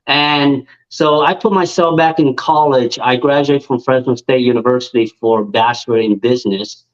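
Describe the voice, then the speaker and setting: A man in his thirties, born in Vietnam, tells his story casually in an interview, his pitch sitting at an ordinary middle level. The audio is a bit dull and lo-fi. He speaks easily and turns lively when he acts out his own past thoughts. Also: moderate pace. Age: 30s. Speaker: guest, nail technician and influencer.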